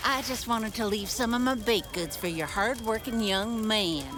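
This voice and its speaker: A woman's voice: fairly high pitch, normal speed, noisy background, natural voice. A woman playing a sweet but scheming old lady baker in an audio drama, genteel, fussy and theatrical. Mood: sweetly folksy. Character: sweet old lady baker hiding villainy, easily affronted. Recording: music behind the voice.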